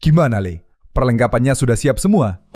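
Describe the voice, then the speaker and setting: Sleepy old village shaman played by a man, mellow dark tone, dry close sound, cartoon drama. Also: medium pitch, expressive, brisk, no room sound, lightly acted. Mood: serious. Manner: sleepy, rambling, folksy. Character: old male village shaman, drowsy, easygoing. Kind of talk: animated cartoon voice acting.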